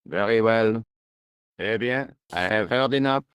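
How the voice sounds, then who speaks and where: A man voicing a pompous, world-weary French police inspector in a video game, speaking deliberately and theatrically. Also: on the low side, a bit bright, smooth, no room sound. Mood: dismissive, grave.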